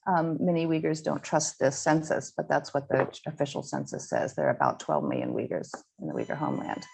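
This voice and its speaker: A woman's voice: sits low, lively intonation, creaky, darkish tone, husky, rough recording. Earnest woman presenting slides, many ums. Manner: earnest, halting with frequent ums and restarts. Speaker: guest speaker presenting slides. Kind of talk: online slide presentation to a school audience.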